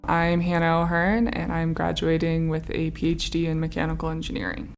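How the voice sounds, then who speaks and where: A woman's voice: deep voice, monotone, a little fast, music in the background. A young woman from New Mexico with an American accent, a graduate student chatting in an interview. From New Mexico, United States. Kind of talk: casual interview talk.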